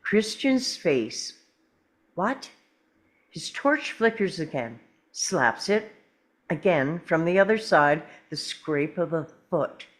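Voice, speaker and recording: A woman's voice: sits low, lively intonation, slow. A woman reading stage directions as dramatic narration at a script table read.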